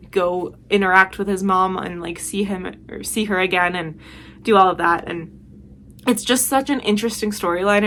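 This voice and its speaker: A woman's voice: mid-range voice, sharp tone. A woman talking casually as a video host, giving chatty, emphatic and enthusiastic recommendations.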